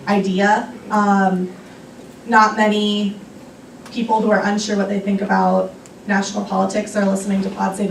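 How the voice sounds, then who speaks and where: A woman's voice: mid-range voice, slight background noise. A young woman answering audience questions at a luncheon, thoughtful, with many ums and restarts. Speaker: guest speaker on a young activists' group. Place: restaurant meeting room. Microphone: room recording from a distance. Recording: echoey room from far off.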